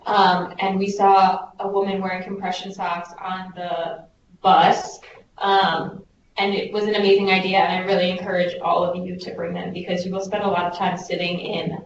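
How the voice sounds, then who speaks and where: A woman's voice: lowish voice, flat delivery, moderate pace. A young woman, a volunteer, giving casual, effusive advice on a group call. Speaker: Peace Corps volunteer. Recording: distant, echoey, dull, hissy conference call.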